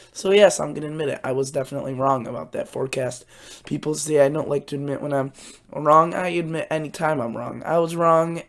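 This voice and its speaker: A young man hosting a weather channel, hoarse and raspy from a cold, talking casually through his forecast with lots of fillers and restarts. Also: on the high side. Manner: rambling, many fillers and restarts.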